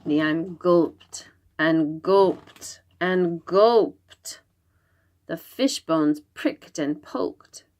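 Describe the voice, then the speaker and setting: A woman reading a children's story aloud, expressive and dramatic, drawing out her words. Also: lowish voice, very slow. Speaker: storybook reader.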